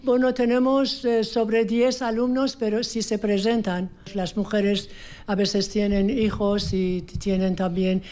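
A woman with a Persian accent, a volunteer teacher answering interview questions in a measured, careful way. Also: mid-range voice, level tone, mellow.

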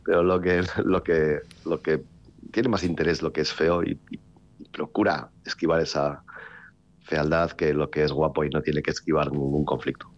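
A man, a novelist from the Basque Country, talking in a relaxed interview with a wry, rambling manner, full of restarts and fillers. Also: extremely low pitch, moderate pace, highs cut off. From Basque Country, Spain. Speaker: author.

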